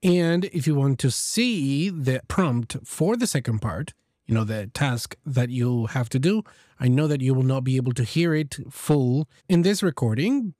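A man's voice: medium pitch, dark tone, no echo. A man with a mid-range voice talks to his listeners as a host and teacher. He explains things casually, circling back to rephrase, in a dry recording with little room sound. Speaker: host, English exam teacher giving feedback. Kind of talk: casual explanatory podcast-style talk to listeners.

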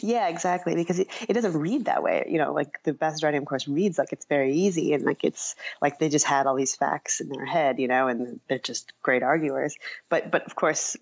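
A woman's voice: low-pitched, lively intonation, brisk, vocal fry, slightly rough, lo-fi sound. A woman being interviewed as a writer, speaking casually and reflectively in a hesitant, self-effacing way.

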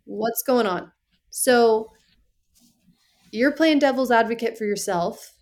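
A woman's voice: a little high, takes it slowly. A woman in her thirties speaking frankly in a casual talk, direct and reassuring. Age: 30s. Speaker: podcast guest. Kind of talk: casual conversation.

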